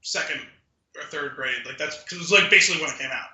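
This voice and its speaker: A man with a high-pitched, intensely bright and edgy voice talks casually as a podcast co-host, offering opinions. The sound is roomy, with clear echo around his words. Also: quick pace. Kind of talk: casual chat, giving opinions.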